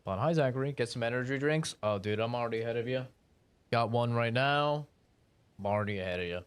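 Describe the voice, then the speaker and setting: A man's voice: medium pitch, fast talker, clear, resonant tone, dry recording. A young man chatting casually while streaming a game, rambling and swearing in a laid-back way. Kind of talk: casual live-stream chat while gaming.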